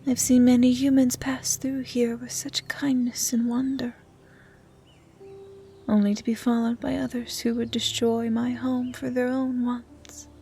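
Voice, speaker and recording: A woman voicing a gentle, curious young dryad who tends the forest, speaking in a soft, breathy near-whisper in an intimate ASMR roleplay style. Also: on the high side, a little hiss or hum. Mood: soft, wondering. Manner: hushed, breathy, caring delivery, often near whispering.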